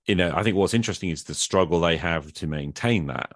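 A man's voice: low voice, fast, no room sound, clean studio sound. A man hosting a casual, reflective conversation, often ending his points with little questions to the listener. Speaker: podcast host. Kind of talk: casual conversation about roleplaying games.

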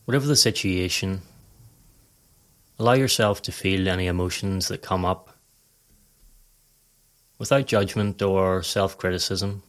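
A man with a low-pitched voice narrates a guided meditation. His delivery is scripted, calm and measured. He gives instructions in a composed, even-handed way.

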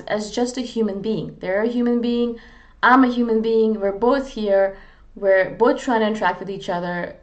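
A woman from India, talking in a candid, earnest, self-deprecating way in a casual, reflective monologue as a vlogger. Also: medium pitch. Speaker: vlogger on personality types.

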